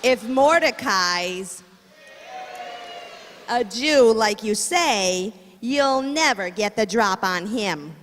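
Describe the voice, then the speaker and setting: A woman's voice: fairly high pitch, animated, very slow, a bit bright, heavily acted. A woman playing a blunt, unsympathetic gangster's wife in a 1930s-style radio comedy. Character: Zeresh, blunt gangster's wife who shrugs off his troubles. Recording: echoey hall, faint noise.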